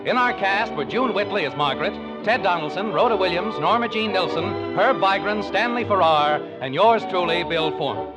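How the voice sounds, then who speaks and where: A polished American male announcer from 1950s radio. His voice is high and very lively in pitch, formal in delivery. Loud music plays along with him. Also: a little fast, few pauses, low-quality recording.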